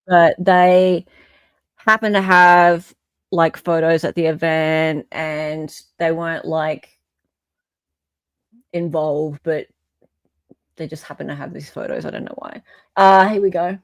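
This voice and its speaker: A woman hosting casual commentary in an animated, blunt way. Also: low-pitched, slow pace, pauses often, clean tone, no echo. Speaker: host.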